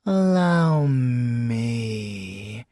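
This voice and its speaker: A British man voicing a possessive, doting siren lover in an intimate ASMR roleplay, breathy and seductive with lingering pauses. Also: mid-range voice, animated, mellow, no room sound, clean studio sound. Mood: soothing, hushed. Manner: slow, breathy, seductive crooning with drawn-out pauses.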